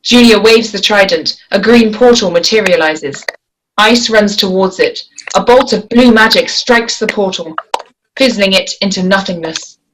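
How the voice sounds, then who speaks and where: A woman's voice: medium pitch, normal speed, low-quality recording. A woman narrating scripted action and stage directions at a screenplay table read. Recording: faint background noise.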